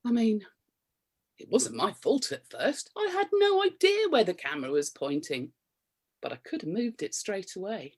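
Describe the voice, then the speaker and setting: A woman's voice: medium pitch, sing-song, moderate pace. An actress with a British accent playing a prim, flustered married woman, coy and curious, in a radio comedy. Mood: guilty, coy. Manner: coy, flustered, innuendo-laden delivery with nervous chuckles. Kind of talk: radio play comedy acting, confessional monologue and banter.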